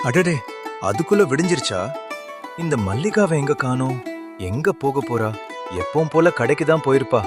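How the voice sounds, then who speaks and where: Casting: man as idle boastful cartoon village husband, medium pitch, prominent music and crowd murmur behind. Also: animated, moderate pace, pauses often, close to an everyday voice. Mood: carefree, casual. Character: lazy, boastful village husband at a tea stall. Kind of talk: animated moral story dialogue. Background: music and crowd sounds.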